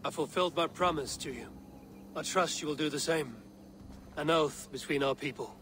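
A man performs a stern Viking warrior for a cinematic game. The voice sits a little high, solemn and commanding, with clear ambient noise behind it. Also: normal speed, fairly bright tone, natural voice. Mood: resolute. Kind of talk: cinematic video game acting.

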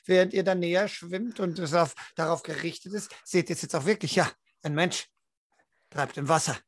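A man narrating a tabletop roleplaying game as storyteller, in a deliberate, descriptive way. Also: on the high side, no room sound. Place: indoor room at home, video call.

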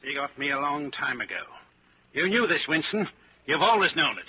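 An actor playing a coldly superior party inquisitor in a 1940s radio drama, speaking with precise, condescending menace. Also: male voice, a little high, very animated, normal speed, sharp tone, full character voice. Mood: knowing. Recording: dull, hissy 1940s radio recording.

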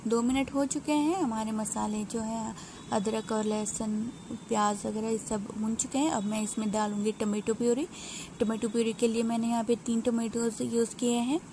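Unhurried woman narrating a home recipe. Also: on the high side, little pitch movement, noisy background. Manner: unhurried, explanatory, frequent hesitations. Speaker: home cook. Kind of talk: step-by-step cooking voice-over.

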